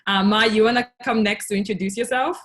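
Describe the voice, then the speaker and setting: A woman from Tanzania, a native Swahili speaker, hosting a casual online group chat in a halting, conversational way. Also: mid-range voice, steady tone, a bit bright. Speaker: host of a virtual cultural session. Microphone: video call.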